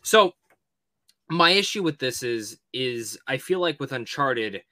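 A man's voice: mid-range voice, lively intonation, measured pace, bright, forceful tone, dry recording, clean studio sound. A man co-hosting a podcast, giving emphatic opinions in casual movie talk. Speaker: podcast co-host.

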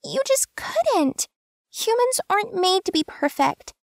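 A woman reading a story aloud, voicing a caring young woman friend who comforts and scolds in a much higher voice than her narration. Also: big pitch swings, unhurried, vocal fry, dry recording, studio quality, full character voice. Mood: pleading. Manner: pleading and soothing, then stern.

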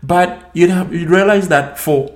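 A man, co-hosting a casual podcast conversation, telling stories in an animated way and acting out other people's lines in a raised voice. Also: high-pitched, measured pace. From Ghana. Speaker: podcast co-host.

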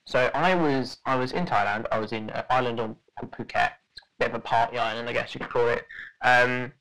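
A young man talking at an ordinary middle pitch, telling a story in a loose, rambling way. He stops and restarts often and fills the gaps with ums and likes. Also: fairly even intonation, fairly quick, vocal fry, pushed and bright, low-quality recording. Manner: rambling, many fillers and restarts, laughs at own story. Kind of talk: casual podcast storytelling.

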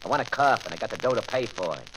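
An actor playing a cocky, menacing gangster boss with slangy, sardonic American speech in a 1940s radio crime drama. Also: male voice, medium pitch, expressive, very fast, close to an everyday voice. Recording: crackly old-time radio recording.

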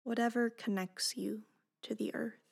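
Slow, soft woman guiding meditation. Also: a little high, steady tone, vocal fry. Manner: gentle, unhurried, deliberate instruction. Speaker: meditation guide. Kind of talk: guided meditation narration.

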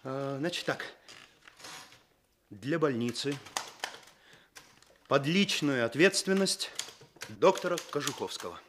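An actor playing an earnest, officious eighteen-year-old police chief in a radio play, speaking precisely. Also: male voice, mid-range voice, expressive, takes it slowly, pauses often, a bit bright, slight background noise. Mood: firm, official. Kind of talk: Soviet radio play acting.

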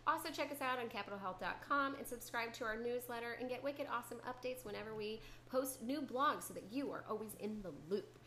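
A woman's voice: on the high side, brisk, hardly any pauses, sharp tone. A woman hosting a podcast in casual chat, bubbly and gushing, with some swearing. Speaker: podcast host. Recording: echoey room with faint noise.

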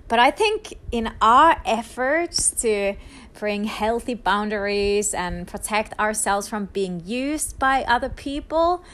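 Woman doing a slow devotional talk, mid pitch, lots of uhs and likes. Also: a bit bright. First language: Finnish. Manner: thoughtful, hesitant, frequent fillers and restarts.

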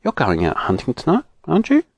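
A man's voice: fairly high pitch, huge pitch swings, fast talker, no echo, pitched above the performer's own voice, exaggerated voice. Female fairy, children's storybook, male reader performing: sweet, coaxing, lilting, dry sound.

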